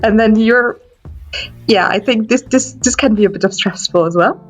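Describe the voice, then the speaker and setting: A woman's voice: fairly high pitch, normal speed, soft background music. A woman from Austria, speaking on a discussion panel about escape rooms and thinking aloud with restarts. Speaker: escape room enthusiast panelist.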